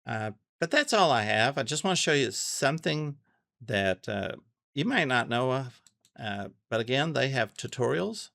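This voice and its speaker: A man giving a casual, unscripted tutorial, thinking aloud haltingly and repeating phrases as he goes. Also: mid-range voice, creaky, a bit bright, no echo, clean studio sound. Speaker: amateur radio tutorial host. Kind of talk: casual unscripted screen walkthrough.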